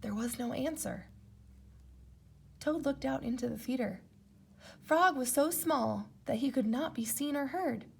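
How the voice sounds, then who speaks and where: A woman reading a children's storybook aloud as an expressive narrator. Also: high voice, a little slow, gravelly, pauses often, breathy edge. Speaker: storybook reader.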